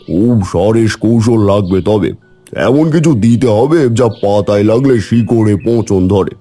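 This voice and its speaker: A man's voice: on the low side, animated, a little slow, mellow, soft background music. A man voicing a cunning, vain moneylender, a scheming villain in a village cartoon. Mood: sly, plotting. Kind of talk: cartoon story dialogue.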